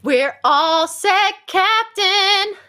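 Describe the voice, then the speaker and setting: A woman's voice: very high-pitched, drawn-out words, pushed and bright, slight background noise, pitched above the performer's own voice, full character voice. A woman playing a dutiful male naval crewman, making formal announcements in a sci-fi radio play. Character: dutiful, crisp male naval radio operator aboard rocket. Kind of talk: cold-read sci-fi radio play acting.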